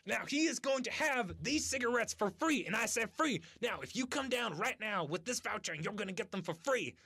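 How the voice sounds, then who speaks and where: Man acting as male TV huckster, brash, pushy, repetitive, raspy, forceful, mock infomercial comedy. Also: high-pitched, animated, brisk, heavily acted. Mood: pushy selling. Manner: pushy, repetitive hard sell. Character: brash male TV pitchman brother selling youth cigarettes. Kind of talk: mock infomercial sales pitch.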